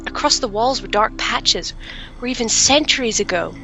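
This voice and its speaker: A woman's voice: fairly high pitch, expressive, normal speed, gravelly, pushed and bright, soft background music, exaggerated voice, rough recording. A woman playing a young adventurer in a fantasy audio drama, bookish and earnest, speaking and narrating in formal storytelling diction. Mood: solemn narration. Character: shaken but curious young woman adventurer, bookish, romantic.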